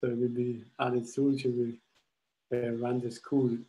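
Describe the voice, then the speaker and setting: A young man from Austria presenting his school project in a webinar over a video call, speaking English haltingly with frequent restarts. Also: medium pitch, completely flat delivery, mellow, low-quality recording. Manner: halting, restarts phrases while explaining slides. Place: indoors at home. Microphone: laptop webcam video call.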